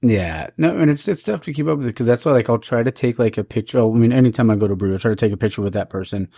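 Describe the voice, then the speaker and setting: A man's voice: medium pitch, racing, mellow, slightly rough, no echo, studio quality. A man hosting a podcast, rambling casually with lots of fillers. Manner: rambling, many fillers like "like" and "dude". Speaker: podcast host. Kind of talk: casual chat.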